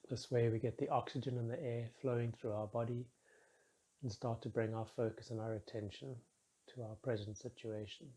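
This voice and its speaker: Male meditation guide, mellow and breathy-husky, measured, soothing delivery. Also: mid-range voice. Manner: calm, soothing, measured instruction with soft phrase endings.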